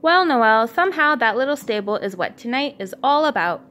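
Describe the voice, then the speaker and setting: A woman's voice: a little high, big pitch swings, fairly quick, a bit bright, slight background noise. A woman playing a huge, friendly male Christmas tree from a storybook, speaking in a kindly, reassuring way. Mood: gentle, knowing.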